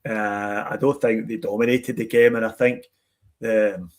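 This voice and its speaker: A man on a football podcast, arguing his point in a measured way. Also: lowish voice, flat delivery. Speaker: podcast panelist. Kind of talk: casual football chat.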